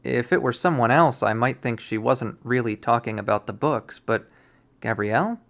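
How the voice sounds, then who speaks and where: A person reading visual novel narration aloud in an expressive storytelling manner. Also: medium pitch, moderate pace, vocal fry. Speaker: video game reader.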